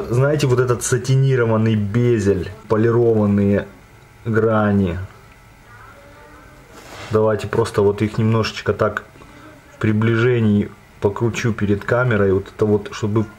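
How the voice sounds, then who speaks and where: Hoarse, chatty man reviewing a watch. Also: on the low side, a little mellow, slight background noise. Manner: chatty, enthusiastic, slangy and digressive. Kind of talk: casual unboxing review. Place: indoors at a table, voice from off camera.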